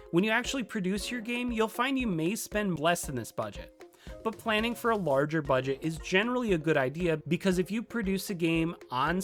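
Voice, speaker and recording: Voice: mid-range voice, fairly bright tone. A presenter narrating a scripted tutorial in a conversational, lightly playful way. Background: music.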